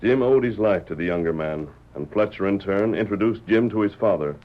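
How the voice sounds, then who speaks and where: An actor playing a calm, commanding western hero who tells a tale in measured, deliberate radio-drama style. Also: male voice, sits low, lightly acted. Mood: grave, steady. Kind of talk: old-time radio drama acting. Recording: dull vintage radio recording.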